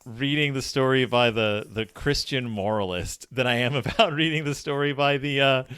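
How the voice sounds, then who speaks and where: A man co-hosting a casual book discussion podcast, talking in a halting, rambling way that often interrupts itself. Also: mid-range voice, fairly bright tone, smooth, no room sound, clean studio sound. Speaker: podcast co-host.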